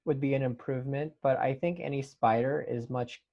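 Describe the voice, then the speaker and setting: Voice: medium pitch, steady tone, brisk, highs cut off. Casual Q&A host, mid-range voice, enthusiastic, reads audience questions and comments on them. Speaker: host reading out fan questions. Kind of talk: casual panel Q&A.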